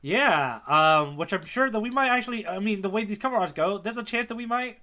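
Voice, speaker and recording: A man's voice: high voice, expressive, a little fast, bright, forceful tone. A man co-hosting a casual manga podcast, talking with geeky enthusiasm in loose, slangy phrasing. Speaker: podcast co-host, the guide. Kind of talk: casual chat about a manga.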